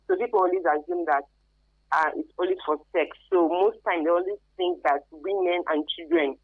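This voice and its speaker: A woman's voice: fairly low pitch, steady tone, moderate pace, slightly rough, no echo. A woman with a Nigerian accent giving emphatic, conversational interview answers as a guest expert, over narrow phone-like radio sound. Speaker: guest expert, career advisor.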